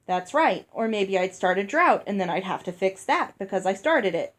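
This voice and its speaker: A woman reading aloud and voicing a gruff older fairy woman who talks bluntly and scolds. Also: mid-range voice, animated, moderate pace, lightly acted. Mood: brusque. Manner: brusque, scolding. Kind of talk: read-aloud storybook dialogue.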